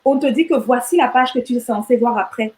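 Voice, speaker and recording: A woman's voice: fairly high pitch, brisk, a little mellow. A middle-aged woman from Rwanda, chatting animatedly as an interview guest, often repeating words as she restarts her sentences. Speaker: guest entrepreneur. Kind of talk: casual interview chat.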